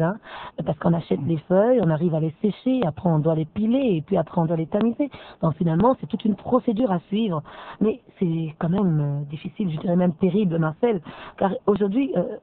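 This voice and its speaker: A woman's voice: on the low side, slight background noise. A woman presenting a radio magazine, chatty with many fillers. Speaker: radio host. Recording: narrow phone-like band.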